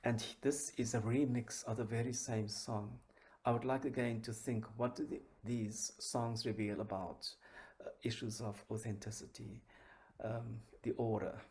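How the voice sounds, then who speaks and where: A man giving an academic conference presentation in a measured, halting way, searching for words as a researcher. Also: mid-range voice, little pitch movement, darkish tone.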